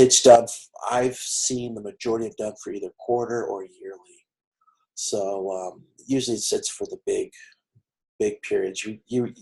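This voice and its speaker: Man, fairly deep voice, vocal fry, college lecturer, halting informal explanation, repeats phrases. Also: a little mellow, poor audio. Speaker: college instructor. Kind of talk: explanatory online lecture with worked example. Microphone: video conference.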